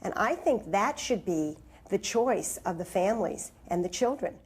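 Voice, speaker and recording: Female interviewee, medium pitch. Formal, instructive delivery, choosing words deliberately. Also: animated, slightly rough. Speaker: oral deaf-education advocate. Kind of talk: documentary interview.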